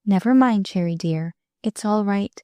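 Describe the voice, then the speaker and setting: A tender minister's daughter speaks softly and evenly, her gentle voice calm and reassuring, as a woman narrator plays her. The sound is close and dry. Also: a little high, normal speed, no room sound, lightly acted. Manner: gentle, soft, soothing.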